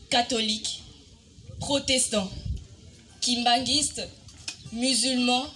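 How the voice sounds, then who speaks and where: A woman reciting a poem in a dramatic spoken-word style, solemn and declamatory, with deliberate pauses. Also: on the high side, sharp tone. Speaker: poetry reciter. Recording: music bed under the voice. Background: music.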